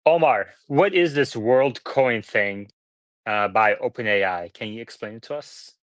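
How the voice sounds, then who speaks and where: A man's voice: medium pitch, a little slow. A man moderates a live online panel in a mid-range voice. He speaks as a host, passing questions around to his guests, with a dry sound free of room echo. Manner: directs questions to guests by name.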